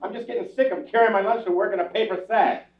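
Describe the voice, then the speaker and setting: A man's voice: sits high, fairly even intonation, fast, far from the mic. An actor playing a henpecked, wisecracking husband in his forties, sardonic and exasperated, in old-time radio comedy style. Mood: complaining. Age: middle-aged. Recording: dull, echoey old radio recording, off-mic.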